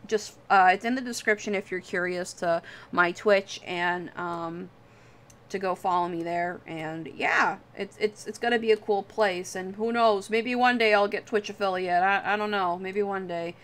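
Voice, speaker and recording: A woman with a very bright, forward voice hosts a casual livestream. She rambles in an off-the-cuff, self-deprecating way and swears freely as she chats. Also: medium pitch, moderate pace. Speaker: livestream host. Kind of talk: casual livestream chat while drawing.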